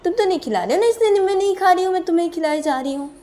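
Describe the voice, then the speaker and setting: A woman's voice: medium pitch, expressive, fast talker, dark tone, pitched above the performer's own voice, lightly acted. A woman playing a bossy, sulky young wife in an audio romance story, scolding insistently with questioning hums. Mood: reproachful.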